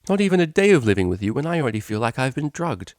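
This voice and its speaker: Storybook mare cellist, prim and fond, male reader lifting into a high register, dry close recording. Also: very animated, very fast, dry recording, lightly acted. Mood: mock exasperated. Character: prim female pony cellist, indignant yet fond.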